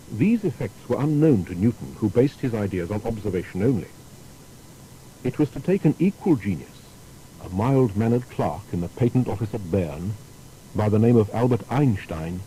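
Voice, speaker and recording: A man's voice: on the low side, warm tone, a little hiss or hum, rough recording. A man narrating a scripted biographical documentary with dramatic, emphatic phrasing.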